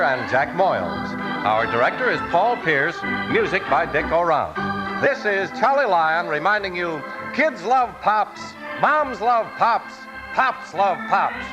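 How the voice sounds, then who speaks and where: Animated, high-pitched vintage male radio announcer. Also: dull audio. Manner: polished, animated salesmanship.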